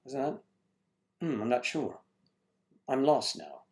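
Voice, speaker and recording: A man's voice: medium pitch, very animated, slow pace, creaky, darkish tone. A man hosting a casual livestream from home, rambling as he greets chat viewers and stumbles over their names.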